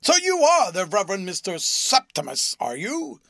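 A man booming as a ferocious pompous bully. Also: huge pitch swings, normal speed, vocal fry, a bit bright, no echo, clean studio sound, full character voice. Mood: blustering. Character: bullying loud pompous male philanthropist. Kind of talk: audiobook character voice.

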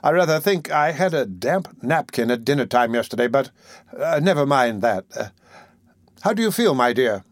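A man voicing a warm-hearted, flustered old gentleman, hoarse and gruff, halting and clearing his throat. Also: medium pitch, animated, no room sound, studio quality, close to an everyday voice. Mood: gruff, tender.

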